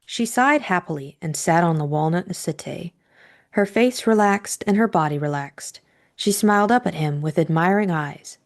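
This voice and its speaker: A woman's voice: lowish voice, normal speed. A woman reading scripted audiobook narration as the storyteller.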